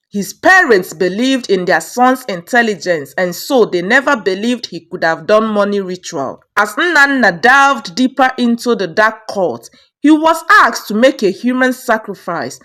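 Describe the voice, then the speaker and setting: Lively, high-pitched woman storyteller. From Nigeria. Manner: lively, rising on dramatic turns. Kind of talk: scripted storytelling narration.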